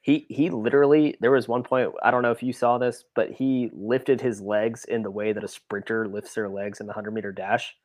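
A man's voice: mid-range voice, fairly even intonation, normal speed, hardly any pauses, a little mellow, dry recording. A man chatting casually on a sports podcast, stressing his points by repeating words emphatically. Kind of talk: casual soccer chat.

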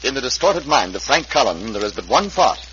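Casting: male radio narrator, dramatic and emphatic, swooping pitch, loud music behind. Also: sing-song, fairly quick. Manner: theatrical, emphatic. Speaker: announcer and narrator. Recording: muffled old radio recording.

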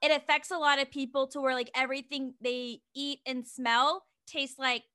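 A woman's voice: sits high, flat delivery, normal speed, sharp tone. A woman hosting a podcast in casual chat, chatty and giggly, with lots of "like" fillers. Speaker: podcast host.

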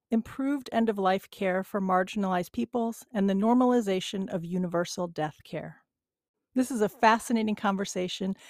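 A woman's voice: medium pitch, a little mellow, no echo, clean studio sound. A middle-aged woman hosting a podcast, reading a scripted intro and then chatting with a guest in an upbeat, enthusiastic way. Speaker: podcast host.

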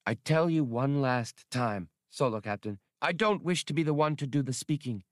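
A man's voice: medium pitch, animated, moderate pace, no room sound, clean studio sound, pitched above the performer's own voice, natural voice. A man voicing a formal, theatrical female feline alien co-pilot in an audiobook. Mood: reluctant, objecting.

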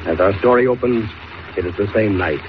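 A man's voice: fairly low pitch, a little fast, natural voice. An American man performing as a dramatic, declamatory announcer narrating a 1940s radio serial. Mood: dramatic, measured. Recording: muffled old radio recording, faint noise.

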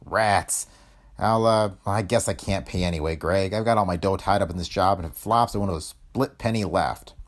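A man voicing a gruff, soft-hearted boss in a pulp space adventure story, giving brusque, slangy orders. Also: sits low, normal speed, close to an everyday voice. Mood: gruffly affectionate.

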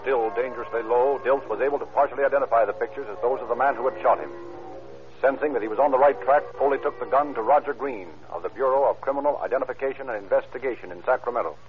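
An actor as the formal, dramatic narrator of an old radio crime serial. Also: male voice, medium pitch, fast, lightly acted. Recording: narrow, dull vintage radio recording. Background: music.

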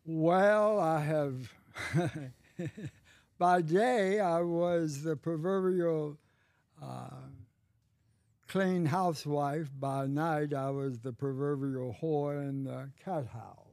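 A man in his eighties, a podcast guest, telling stories in a slow, wry and bawdy way. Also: on the high side, darkish tone, no room sound, clean studio sound. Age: 80s. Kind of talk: casual chat.

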